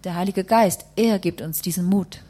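A woman's voice: lowish voice, normal speed, warm tone. A woman working as a live interpreter, rendering a testimony phrase by phrase and rewording as she goes.

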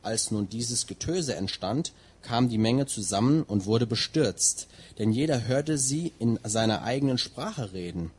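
A man interpreting a sermon phrase by phrase, and at times reading a long scripture passage at a steady pace. His mid-pitched voice has a husky grain. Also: a little slow. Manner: translates line by line, with some fillers and restarts.

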